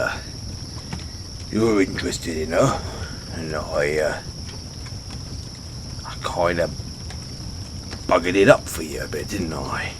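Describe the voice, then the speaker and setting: A British man voicing a dim, sheepish orc barbarian in a fantasy audio roleplay, speaking in a halting, blunt, stammering way. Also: deep voice, background noise. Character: gruff but sheepish, dim orc barbarian adventurer, adult male. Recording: outdoor night ambience with crickets.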